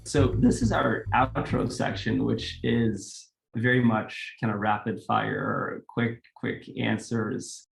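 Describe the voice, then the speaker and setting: A man from Sweden hosting a podcast, asking interview questions in a relaxed, friendly way. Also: lowish voice, takes it slowly, creaky, soft background music, poor audio. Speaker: podcast host.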